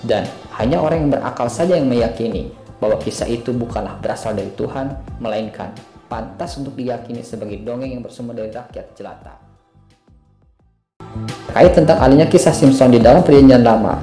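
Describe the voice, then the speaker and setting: A man's voice: medium pitch, brisk, warm tone. A man reading a scripted, argumentative narration in formal, bookish wording. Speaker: video narrator. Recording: roomy echo, music behind.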